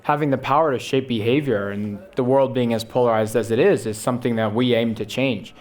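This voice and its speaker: A young man talks fast and eagerly on a conference stage, pitching his company's vision. He often checks in with the audience by asking "right?". His pitch is mid-range for a man. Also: a little mellow. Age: young adult. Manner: rapid, punctuates with "right?". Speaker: startup founder on a panel. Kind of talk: fast, enthusiastic pitch talk.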